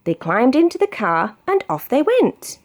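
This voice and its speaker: A woman with a British accent reading a children's storybook aloud in an expressive narrating style. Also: sits high, a little slow, a little hiss or hum. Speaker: storybook reader.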